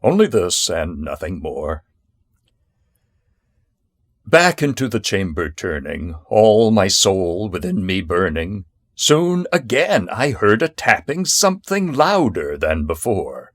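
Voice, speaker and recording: A man giving a theatrical, intense recitation of a poem in a deliberate manner. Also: fairly low pitch, sing-song, no echo, studio quality. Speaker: poetry reader. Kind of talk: dramatic poetry recitation.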